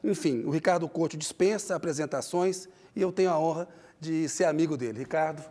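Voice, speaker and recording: Brazilian male TV host, friendly interviewer, slightly high and mellow voice. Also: a little fast. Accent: Brazilian Portuguese. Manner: friendly, prompting questions.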